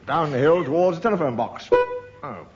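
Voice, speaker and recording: A man's voice: fairly high pitch, expressive, fairly quick, over music. A man, a comedy panellist, spinning an improvised comic story. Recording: lo-fi radio recording with clear noise.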